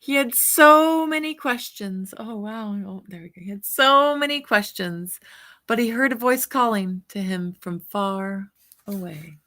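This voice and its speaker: Female read-aloud storyteller, mid-range pitch, unhurried pace, teacherly asides to young listeners. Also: lively intonation, pushed and bright. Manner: unhurried, drawn-out words, points things out to young listeners. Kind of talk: picture book read-aloud with asides on the pictures.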